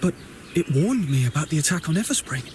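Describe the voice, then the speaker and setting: Earnest, lighter-pitched young hero. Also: male voice, big pitch swings, quick pace, a little mellow, slight background noise. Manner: earnest, sincere. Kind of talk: video game character voice acting.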